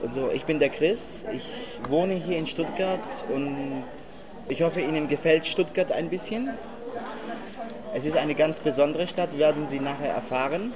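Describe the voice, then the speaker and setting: A man's voice: a little high, normal speed. A man welcoming a visiting group in an informal, friendly way. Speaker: local host greeting visitors. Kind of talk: welcoming address. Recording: voice among a crowd.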